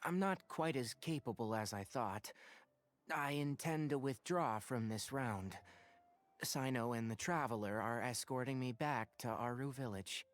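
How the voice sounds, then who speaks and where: An actor playing a polite, wry young scholarly man in a video game, speaking in a measured, precise way. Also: mid-range voice, natural voice. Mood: matter-of-fact. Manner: measured, precise, slightly formal.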